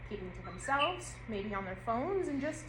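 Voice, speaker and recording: A woman actor reading an audio play script at rehearsal in a measured storytelling way. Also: on the high side, big pitch swings. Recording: roomy and noisy rehearsal room.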